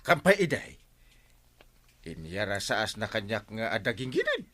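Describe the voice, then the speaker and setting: A man's voice: fairly low pitch, wildly swooping pitch, measured pace, a bit bright, dry recording, heavily acted. A man with an Ilocano accent playing a lazy, sleepy, comically unbothered village captain in a radio comedy drama, grumbling and exclaiming. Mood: lazy, defensive.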